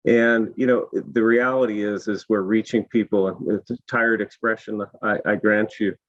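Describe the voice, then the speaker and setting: A hoarse man with a low voice speaks on a panel, his voice worn down. His phrasing is measured and polished. The audio is dull and lo-fi. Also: steady tone, a bit bright. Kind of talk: panel discussion.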